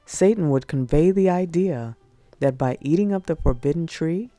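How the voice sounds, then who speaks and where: A woman narrating religious prose as an audiobook, in a measured, solemn read-aloud delivery. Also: sits low, mellow. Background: music.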